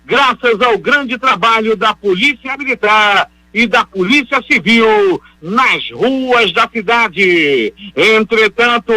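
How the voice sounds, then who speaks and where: A Brazilian man delivering a popular crime bulletin on radio, theatrical, with drawn-out cries and repeated phrases. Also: sits high, sharp tone, low-quality recording. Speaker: radio police-beat reporter. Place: radio studio.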